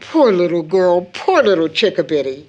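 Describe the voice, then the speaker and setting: Expressive, careworn mother. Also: fairly low pitch, normal speed, highs cut off. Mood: sorrowful. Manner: theatrical, tender, expressive. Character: loving, careworn mother of a growing daughter. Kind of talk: stage-play audio drama acting.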